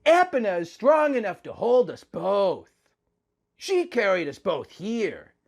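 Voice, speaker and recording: A man's voice: high-pitched, sing-song, measured pace, voice pushed up, full character voice. A man voicing an adoring young male hero, a sweet and gushing husband in a romantic fan fiction story. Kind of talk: melodramatic fan fiction dialogue.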